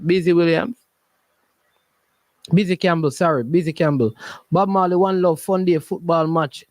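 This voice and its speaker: Jamaican man, high voice, dry close sound, jocular sports show host, casual Patois, repetitive phrasing. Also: normal speed, no room sound. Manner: animated, jocular, repeats phrases. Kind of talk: casual live call-in chat in Patois.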